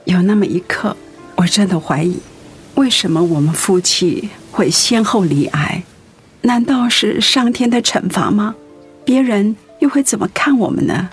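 An actress playing a devoted, anxious wife in a radio drama, speaking tenderly and earnestly with feeling. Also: female voice, medium pitch, a little slow, breathy edge, faint music behind. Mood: moved, grateful. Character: devoted, anxious wife caring for her sick husband.

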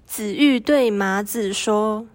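A woman's voice: medium pitch, slow, no echo, clean studio sound. A teenage female student narrating a scripted radio drama story.

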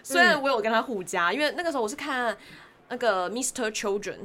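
A woman's voice: fairly high pitch, animated, fast talker, sharp tone. A woman with a Taiwanese accent, a podcast guest casually telling anecdotes in conversation.

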